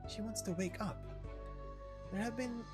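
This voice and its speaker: A woman's voice: medium pitch, unhurried, music in the background, voice pushed up, natural voice. Woman playing a solemn, cryptic mentor. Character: solemn sage-like mentor speaking in cryptic maxims. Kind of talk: game story character voicing.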